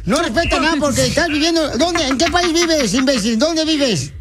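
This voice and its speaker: A man's voice: very high voice, animated, normal speed, a crowd in the background. A man hosting a heated call-in radio show, mocking and confronting a caller.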